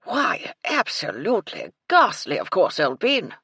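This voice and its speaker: A narrator voicing a hearty, addled old army colonel who talks loudly in bursts of exclamation. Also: male voice, high voice, wildly swooping pitch, a little slow, vocal fry, slightly rough, no echo, studio quality, voice pushed up, heavily acted. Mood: relishing. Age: older.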